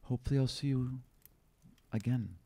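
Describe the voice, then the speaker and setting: A man's voice: medium pitch, slightly rough, voice pushed up. Man voicing a gracious, motherly noble lady. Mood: tender, formal. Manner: gentle, formal, measured.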